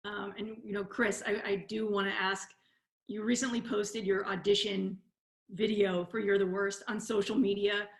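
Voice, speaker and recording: A woman's voice: mid-range voice, little pitch movement, moderate pace. A woman moderating a question and answer session as an interviewer over a video call. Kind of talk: moderated cast Q&A. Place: remote video call, echoey room. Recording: echoey video call audio.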